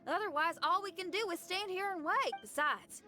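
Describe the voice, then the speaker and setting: A woman's voice: very high-pitched, normal speed, sharp tone, soft background music. A woman voicing a spirited, frank young woman in a video game, with a friendly, folksy, plain-spoken manner. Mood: reassuring.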